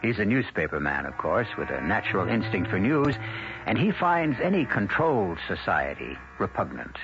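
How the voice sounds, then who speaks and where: A man hosting an old-time radio mystery, narrating from a script in a deliberate, theatrical, philosophical way. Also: fairly low pitch, big pitch swings. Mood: storytelling. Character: measured, philosophical storyteller host. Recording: muffled old radio recording. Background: music.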